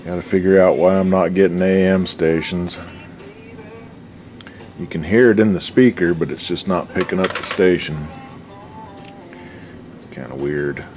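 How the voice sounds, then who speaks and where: A man with an extremely low, deep voice chats casually through a hands-on repair job. His delivery is folksy and slangy, muttered as he works, with faint music somewhere behind him. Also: unhurried, long pauses, a little mellow. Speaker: hobbyist radio restorer and video host. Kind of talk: casual unscripted workbench commentary.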